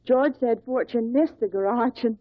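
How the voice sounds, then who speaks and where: An actress playing an earnest, certain housewife giving polite testimony in a 1950s radio drama. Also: female voice, a little high, normal speed, no echo, close to an everyday voice. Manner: polite, conversational, emphatic recollection. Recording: dry, thin, phone-like vintage radio recording.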